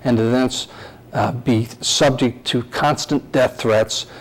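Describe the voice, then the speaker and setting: A man's voice: medium pitch, fairly even intonation, takes it slowly. A man, an event organizer, introducing a video to the audience in a hesitant way with many fillers.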